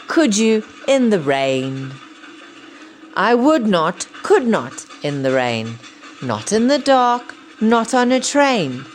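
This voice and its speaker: A woman reading a rhyming picture book aloud to young children in an expressive, sing-song, emphatic way. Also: on the high side.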